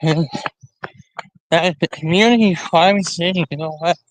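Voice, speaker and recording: A man hosting a casual livestream, talking to viewers in a rambling, halting way, often repeating and restarting his phrases. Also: a little high, no room sound, low-quality recording. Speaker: live stream host.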